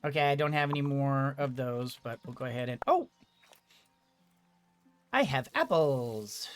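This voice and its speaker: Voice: mid-range voice, expressive, unhurried, faint music behind. A gamer giving casual let's play commentary, thinking aloud and often repeating words. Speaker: gaming video host.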